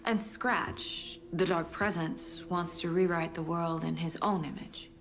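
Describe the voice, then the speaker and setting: An actress playing a composed female investigator who questions a witness briskly, recapping the facts point by point. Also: on the low side, fairly even intonation, slight background noise. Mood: probing.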